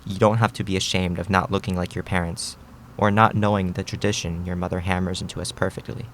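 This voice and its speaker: A man playing a gentle, reflective middle-aged Japanese immigrant father in an audio drama, speaking in a measured, reassuring way. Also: deep voice, flat delivery, slight background noise.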